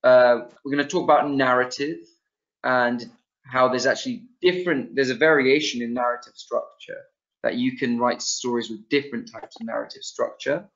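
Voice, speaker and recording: A man's voice: medium pitch, moderate pace, a bit bright. Young guy running a webinar over a video call, lots of ums and restarts, kinda muffled dull audio. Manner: loose, thinking aloud with many ums and restarts. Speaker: webinar presenter. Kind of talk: online teaching webinar. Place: small room indoors.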